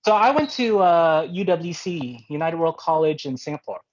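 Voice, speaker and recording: A man's voice: on the high side, animated, normal speed, dry recording. A man answering interview questions over a video call, hesitant and reflective with many fillers. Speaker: interview guest. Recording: dry, dull video-call audio.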